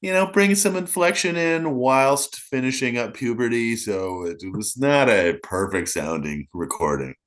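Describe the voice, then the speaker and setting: A man in his forties co-hosting a casual podcast, speaking in a fairly monotone way and poking fun at himself. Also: mid-range voice, normal speed, few pauses. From Canada. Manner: monotonous, self-deprecating. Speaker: podcast co-host. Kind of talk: casual chat.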